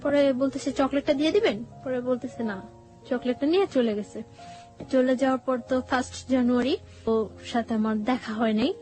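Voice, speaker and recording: A woman with a Bangladeshi accent tells a personal story conversationally, halting and repeating phrases as she goes. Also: high voice, darkish tone, poor audio. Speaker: guest telling her life story. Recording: music bed behind the voice.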